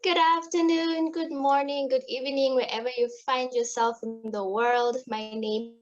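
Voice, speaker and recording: A medical student from Namibia greets the panel cheerfully in a fairly high voice. Her audio comes through dull and lo-fi. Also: fairly even intonation, normal speed.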